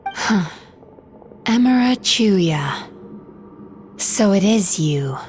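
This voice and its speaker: Casting: caring young healer for a game, composed and measured, mid-range voice. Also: female voice, mid-range voice, lively intonation, soft background music. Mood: firm. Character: Mizuki, composed, caring young woman therapist. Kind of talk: video game character acting.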